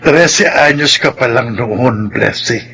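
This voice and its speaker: A man's voice: mid-range voice, takes it slowly, gravelly, bright, forceful tone, a little hiss or hum, heavily acted. An actor with a Filipino accent playing an earnest, worried father in a radio drama, speaking emphatically and solemnly. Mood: solemn, heavy. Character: earnest, worried father of two grown daughters.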